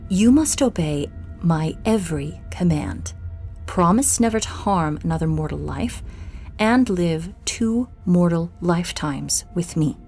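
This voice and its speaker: Female actor as firm, bossy wife, lowish voice, teasing menace, audio roleplay with music. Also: expressive, a little mellow. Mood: stern. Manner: measured, imperious, teasing. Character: commanding, playfully threatening wife and daughter, firm and teasing. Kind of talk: scripted ASMR audio roleplay.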